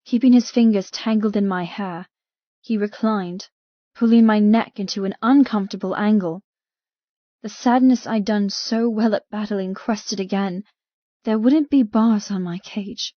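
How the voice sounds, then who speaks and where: A woman's voice: medium pitch, unhurried, dry recording, dull audio. A woman narrating a dramatic first-person novel as a frightened captive. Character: frightened captive young woman telling her story. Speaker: audiobook narrator.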